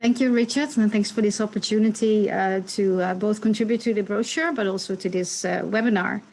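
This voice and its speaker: A woman executive giving a measured, explanatory presentation in a webinar. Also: medium pitch, fairly even intonation, gravelly, darkish tone, rough recording. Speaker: company executive.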